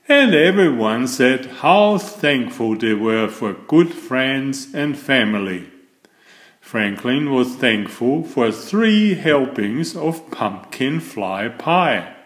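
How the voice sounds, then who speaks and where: A man reading a children's picture book aloud as an expressive storyteller with deliberate phrasing. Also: medium pitch, reverberant. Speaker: storybook reader.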